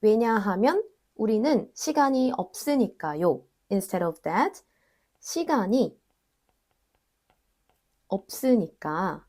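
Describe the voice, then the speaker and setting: A young woman, a native Korean speaker, explaining grammar in English in a casual online lesson and repeating Korean example words slowly. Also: mid-range voice, animated, a little mellow. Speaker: Korean language teacher.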